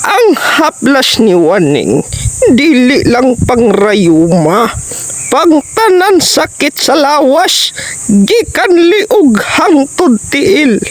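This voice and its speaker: A woman's voice: sits high, very animated, extremely slow, exaggerated voice, rough recording. A woman playing a soothing, boastful village liniment seller in a comic radio commercial skit. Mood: soothing, salesy.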